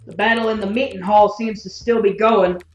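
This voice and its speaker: A woman's voice: mid-range voice, steady tone, moderate pace, echoey room, natural voice, poor audio. A woman playing a brash, cocky mercenary soldier in an audio drama, boastful and flippant in manner. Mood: urgent.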